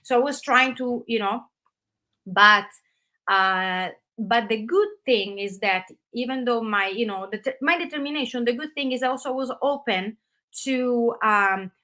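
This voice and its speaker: Bright-voiced Italian woman, animated. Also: on the high side, measured pace. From Italy. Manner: animated, loose run-on phrasing with frequent fillers. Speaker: guest, actress. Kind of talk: casual interview conversation.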